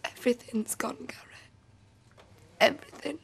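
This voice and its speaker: A woman's voice: on the high side, moderate pace, gravelly, in a whisper. An actress playing a grieving young woman, speaking in a tearful, pleading way. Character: grieving young woman whose mother has died.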